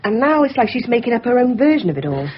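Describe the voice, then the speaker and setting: A woman's voice: fairly high pitch, very animated, quick pace, a little hiss or hum. A woman playing a puzzled, down-to-earth hospital worker in a naturalistic radio drama. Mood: puzzled, reflective. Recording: dull lo-fi radio recording.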